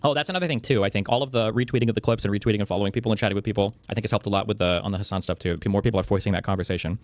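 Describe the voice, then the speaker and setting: A man's voice: on the low side, racing, dry recording. A man talking casually in an online discussion.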